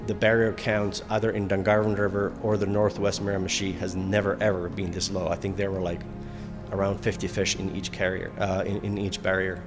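A man speaks hesitantly in a very deep, flat voice, restarting often. Music runs clearly under his words. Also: brisk. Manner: hesitant, many fillers and restarts. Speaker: interviewee. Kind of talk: news interview. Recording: music bed under speech.

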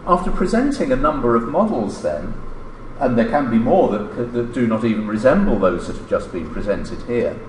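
Man lecturing with animated intonation. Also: mid-range voice, normal speed. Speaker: academic lecturer reading a paper. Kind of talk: formal scripted lecture, read aloud. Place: indoor lecture room at a lectern. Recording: roomy lecture-hall echo, background noise.

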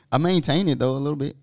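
A man's voice: on the high side, darkish tone. A man co-hosting a casual podcast, teasing his partner in a laid-back way and laughing often. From United States. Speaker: podcast co-host.

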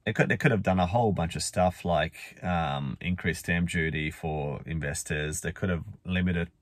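Male vlogger, 40s, deep and croaky with a cold, rambling, chatty, dry close sound. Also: normal speed, no room sound. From Australia. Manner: chatty, rambling, frequent fillers and restarts. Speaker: finance vlogger. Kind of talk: casual talking-head vlog. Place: inside a parked car. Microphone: phone or camera at arm's length.

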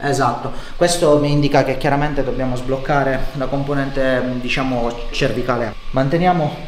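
A man's voice: mid-range voice, fairly even intonation, moderate pace. Reassuring male chiropractor in a roomy space. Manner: reassuring, checks in with short questions. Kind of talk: explaining a treatment to a patient and viewers. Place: living-room-like treatment room indoors. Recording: roomy echo, faint background noise.

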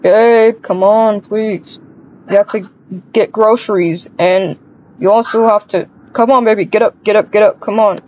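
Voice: medium pitch, steady tone, a little slow, a little mellow, a little hiss or hum, natural voice. A performer playing an affectionate, coaxing young husband and dad in an improvised game roleplay. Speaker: roleplay player. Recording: dull lo-fi microphone.

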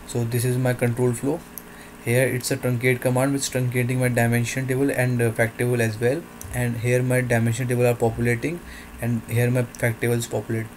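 A man narrating a software demo in halting, non-native English phrasing. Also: mid-range voice, level tone, slight background noise.

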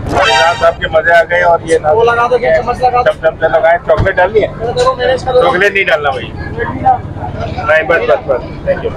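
A man's voice: a little high, animated, unhurried, pauses often. A middle-aged man from Karachi guiding a street vlog, pointing out stalls and food. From Karachi, Pakistan. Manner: tour-guide pointing out sights, warm religious phrases. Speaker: vlogger, father. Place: busy outdoor market street at night. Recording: loud street and traffic noise.

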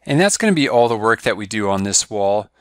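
A man giving a step-by-step software tutorial over a screen recording, walking through each click in a steady, instructive way. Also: fairly low pitch, quick pace, dry recording, clean studio sound. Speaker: software trainer.

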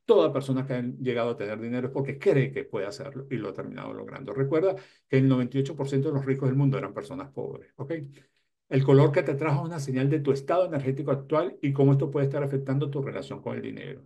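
A man's voice: medium pitch, quick pace, creaky, warm tone, breathy edge. A man in his sixties giving motivational advice to camera as a self-help host, emphatic and repeating key words. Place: small indoor room lined with bookshelves.

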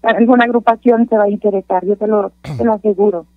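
Female radio co-host, mid-pitched and eager, muffled dry lo-fi line. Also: steady tone, fast. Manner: enthusiastic, praising. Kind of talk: casual radio interview.

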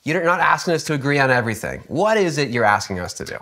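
A man's voice: on the high side, fast talker. A man interviewing a pastor, asking casual questions and teasing in a playful way. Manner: playful, teasing, lively intonation.

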